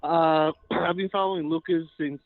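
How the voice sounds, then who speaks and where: A man's voice: fairly high pitch, steady tone, moderate pace. A man calling in to a show, flustered and stammering. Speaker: caller. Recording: tinny phone line.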